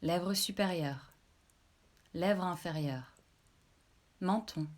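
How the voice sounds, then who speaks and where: Female meditation guide, slightly low voice, very slow and calm, measured delivery for deep relaxation sessions. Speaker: yoga nidra instructor.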